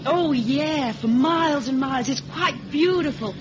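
A woman's voice: high-pitched, measured pace. An actress playing a blithe, self-assured young woman aboard a plane in a 1970s radio drama. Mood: amused. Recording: dull, noisy old radio recording.

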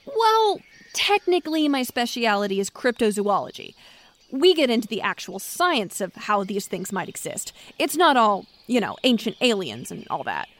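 Woman acting a guarded, very expressive woman scientist. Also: a little high, normal speed. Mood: defensive, explaining. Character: guarded, defensive woman scientist who hunts mysterious creatures. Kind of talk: naturalistic audio drama dialogue.

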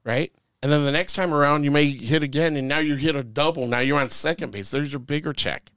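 A man's voice: on the high side, fairly quick, fairly bright tone, smooth, no echo, studio quality. Rambling man giving podcast advice. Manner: rambling, full of fillers and sports analogies. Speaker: podcast co-host.

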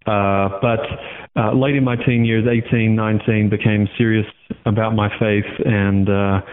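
An Australian man in his thirties, a guest talking casually and quickly, with rambling asides. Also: fairly low pitch, flat delivery, a little hiss or hum. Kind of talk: casual interview over the phone. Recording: tinny phone line.

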